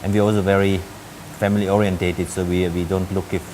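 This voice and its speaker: A man's voice: sits low, flat delivery, fast, a little mellow, a little hiss or hum, rough recording. A middle-aged man from Austria with an Austrian German accent, a hotel general manager answering interview questions hesitantly, with many fillers and restarts.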